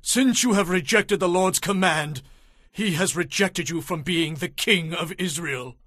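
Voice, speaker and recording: High-pitched stern prophet. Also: male voice, unhurried, a bit bright, dry recording, studio quality. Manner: declamatory, commanding. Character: stern, righteous Hebrew prophet rebuking a king. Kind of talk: dramatized audio Bible acting.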